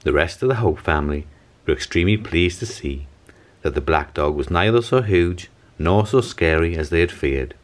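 Male storybook narrator, low register, very animated intonation. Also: normal speed. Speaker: storybook reader. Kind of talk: expressive picture-book narration.

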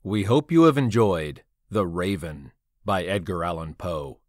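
A man giving a formal, measured scripted announcement as a credits reader. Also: sits low, expressive, no echo, clean studio sound. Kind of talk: scripted narration.

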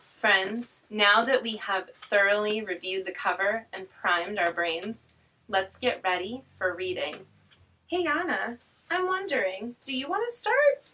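A woman presenting an educational read-aloud for young children in an animated, exaggerated, child-directed way. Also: medium pitch, measured pace. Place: small indoor classroom inside a mobile learning vehicle. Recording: distant mic with faint room noise.

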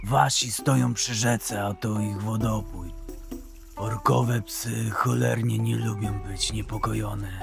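Man playing a calm, gravelly, seasoned hunter. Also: fairly low pitch. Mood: calm, cautioning. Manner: unhurried, matter-of-fact. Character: calm, seasoned male hunter giving unwelcome advice. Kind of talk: fan audio drama acting. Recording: music under the voice.

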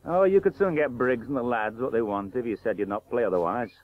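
An actor playing a blunt, bitter working-class old cricket professional in a radio play, plain-spoken and sardonic. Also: male voice, medium pitch, a little fast, close to an everyday voice. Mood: resigned, reproachful. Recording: tinny narrow-band 1980s radio broadcast.

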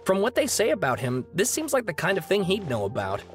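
A man's voice: high voice, wildly swooping pitch, fairly quick, soft background music. A man voicing an earnest, socially awkward student scholar in a video game, with an emphatic, sometimes hesitant delivery. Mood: awkward, polite. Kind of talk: video game dialogue acting.

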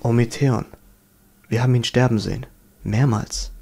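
A man's voice: mid-range voice, steady tone, moderate pace, creaky, warm tone, slightly rough. A man playing an inquisitive adventurer who talks conversationally in a fantasy audio drama. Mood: questioning. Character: male adventurer, questioning, bargaining with captors.

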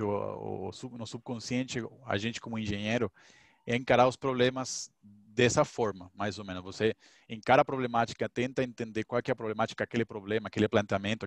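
A man's voice: fairly low pitch, no room sound. A man in his thirties, an engineer, talking through a headset on a video call panel, rambling with many restarts. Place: indoors, home office with shelves.

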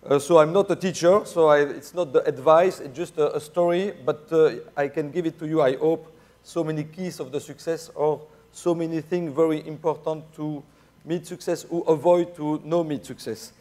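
Belgian french-accented guy presenting at a conference, slightly high voice, lots of uhs and restarts, lapel mic. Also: steady tone, a little mellow. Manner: halting, many fillers and restarts. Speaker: keynote speaker. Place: dark large conference stage, indoors.

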